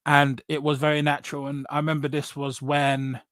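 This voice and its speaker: An English man with a fairly high voice talks casually about his own experiences. He is blunt and emphatic, doubles back on phrases and tags points with "right?" The sound is dry and close. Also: completely flat delivery, a little fast, fairly bright tone, smooth, no echo. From England. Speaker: podcast guest. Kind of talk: casual personal storytelling in conversation.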